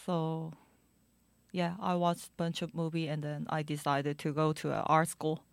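A young woman with a Korean accent, a guest in an interview, speaking halting English with many fillers. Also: sits low, monotone, gravelly, no echo. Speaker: guest musician and DJ. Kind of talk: casual interview.

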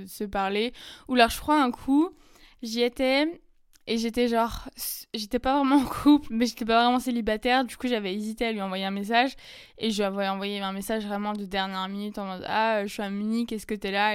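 Young woman podcaster, casual and chatty storyteller, lots of fillers; crisp tone, dry recording. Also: a little high, no echo. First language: French. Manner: chatty, many fillers and quick asides. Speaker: podcast host. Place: lives in Germany.

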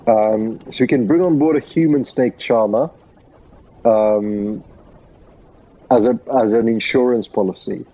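A man's voice: fairly low pitch, unhurried, pauses often, warm tone, muffled recording. A man who grew up in Sri Lanka, co-hosting a podcast and arguing earnestly in a rambling way, with lots of fillers. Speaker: podcast co-host. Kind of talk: casual debate.

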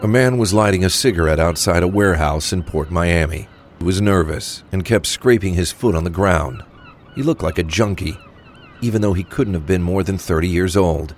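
A man's voice: sits low, moderate pace, slight background noise. A man narrating a scripted crime audio drama.

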